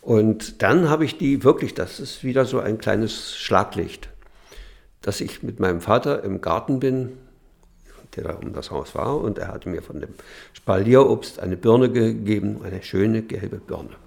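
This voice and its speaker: Older man, creaky and halting. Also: lowish voice, darkish tone. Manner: halting, searching for words. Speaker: eyewitness recalling childhood. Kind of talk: unscripted interview recollection.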